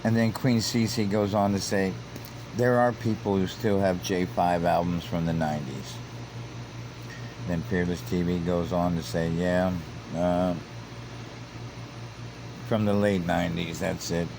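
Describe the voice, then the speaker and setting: A man's voice: low voice, little pitch movement, a little slow, creaky, long pauses, background noise. A man commenting on online comments, reading them out and repeating himself as he grows heated and emphatic. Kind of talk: casual commentary.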